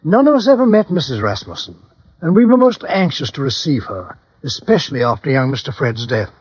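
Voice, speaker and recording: Husky, deferential old butler. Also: male voice, medium pitch, sing-song, a little fast, no echo. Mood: earnest, sorrowful. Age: older. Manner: hesitant, formal, deferential. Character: graying deferential butler, loyal, formal, anxious to please. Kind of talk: 1950s radio drama acting. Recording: dull vintage radio recording.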